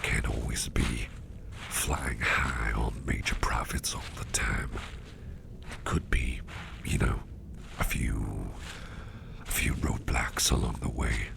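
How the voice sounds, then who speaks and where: A man voicing a mischievous boss with sharp teeth in an ASMR roleplay, talking in a soft, teasing half-whisper. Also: very deep voice, steady tone, slow, gravelly, pauses often, heavily acted. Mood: confiding.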